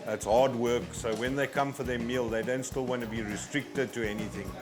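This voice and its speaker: A man's voice: mid-range voice, steady tone, normal speed. A man who works as a chef, explaining his kitchen in a plain-spoken, practical way. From South Africa. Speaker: ship's chef. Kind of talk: casual explanation to camera. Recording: music under the voice. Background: music.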